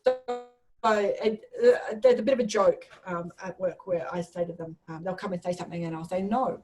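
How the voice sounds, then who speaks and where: A woman's voice: on the low side, normal speed, a little mellow, soft background music, low-quality recording. A woman chatting casually, with a direct, jokey and sometimes sweary way of talking. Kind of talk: casual conversational chat.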